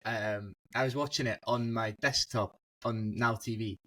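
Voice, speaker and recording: A man's voice: fairly low pitch, fairly even intonation, measured pace, a bit bright. A young man as a guest on a casual football podcast, telling stories animatedly and laughing mid-sentence over a video call.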